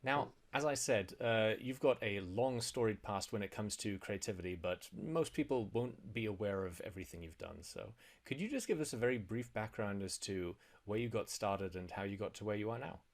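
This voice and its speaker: A man's voice: lowish voice, moderate pace, few pauses. A man hosting a podcast, asking his guest conversational, probing questions. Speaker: podcast host. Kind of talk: podcast interview and self-promotion.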